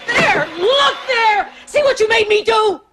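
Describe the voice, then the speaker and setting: A woman's voice: sits high, very animated, moderate pace, sharp tone, slight background noise, exaggerated voice. An actress playing an obsessive, volatile woman who talks primly, shuns swearing and flips into screaming rage. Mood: indignant. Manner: prim and sugary, refuses to swear, snaps into screaming rage. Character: obsessive volatile middle-aged woman fan, prim and menacing. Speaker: film character. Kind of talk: film drama acting.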